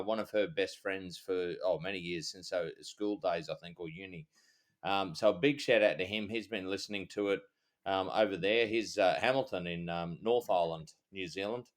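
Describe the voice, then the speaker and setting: A middle-aged Australian man, a former cop co-hosting a podcast, chatting in a rambling, halting, easygoing way. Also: fairly low pitch. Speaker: podcast co-host, former police officer. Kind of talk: casual chat.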